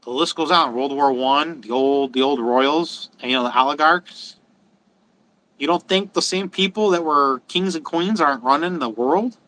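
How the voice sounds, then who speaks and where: A man's voice: fairly high pitch, moderate pace, bright, forceful tone, low-quality recording. A man talking casually and bluntly to viewers as a livestream host, rambling through opinions, repeating phrases for stress and often swearing.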